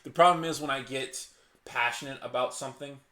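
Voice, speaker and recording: A man hosting his own channel, reasoning aloud in casual commentary. He is passionate and restarts often, and says his naturally loud voice is held low on purpose. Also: fairly even intonation, fairly quick, echoey room. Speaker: channel host. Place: hot indoor room.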